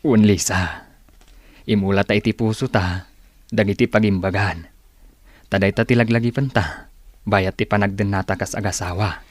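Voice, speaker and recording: A man's voice: lowish voice, fairly even intonation, normal speed, long pauses, no room sound, natural voice. A man acting a young husband in an Ilocano radio drama, devoted but fond of drink. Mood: tender. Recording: music behind the voice.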